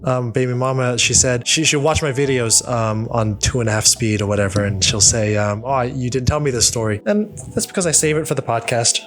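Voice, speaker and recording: A man in his thirties hosting a casual solo podcast, telling a rambling story and laughing along, on a studio boom mic. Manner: animated, rambling, laughs at his own stories. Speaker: podcast host. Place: home studio with wooden wall. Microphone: studio mic on boom arm, headphones.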